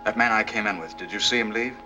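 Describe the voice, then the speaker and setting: A man's voice: fairly low pitch, quick pace, music in the background, natural voice. A man playing a determined, suspicious young hero in a 1940s film, blunt and insistent. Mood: tense, puzzled. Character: determined, suspicious, hot-headed young fiance hunting for answers. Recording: noisy 1940s film soundtrack.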